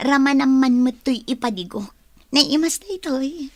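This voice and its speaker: A woman's voice: high-pitched, animated, slow. A woman playing a coaxing, easily miffed young job seeker in an audio drama, with a Filipino Ilocano accent. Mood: playful, coaxing.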